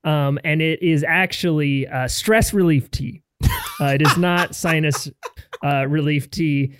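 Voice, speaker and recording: A man's voice: fairly high pitch, measured pace, fairly bright tone, slight background noise. A man co-hosting a casual podcast while congested from a cold, telling stories in a jokey, rambling way. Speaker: podcast co-host.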